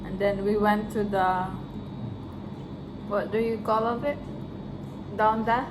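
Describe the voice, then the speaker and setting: A chatty Filipino woman with a Filipino accent talks to her viewers while eating street food, laughing easily between sentences. Her voice sits at an ordinary middle pitch, recorded on a phone with clear street noise around her. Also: fairly even intonation, slow. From Philippines. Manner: chatty, laughs often, addresses viewers as guys. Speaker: vlogger. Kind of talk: casual travel vlog chat. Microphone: phone camera.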